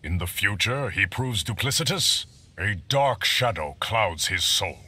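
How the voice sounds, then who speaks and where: A man's voice: lowish voice, animated, unhurried, very noisy. A man playing a stern, distrustful warrior emperor in a video game, speaking formally and solemnly.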